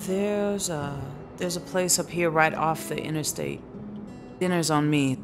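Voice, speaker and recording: An actress playing a guarded woman traveler with something to hide, low-key and hesitant, in a radio drama. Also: lively intonation, measured pace. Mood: casual. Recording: music under the dialogue.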